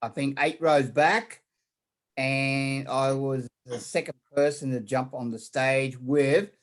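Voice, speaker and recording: A man's voice: mid-range voice, fairly even intonation, takes it slowly. A man from Australia, a guest in a casual online interview, talking in a rambling way with many fillers and repeated words.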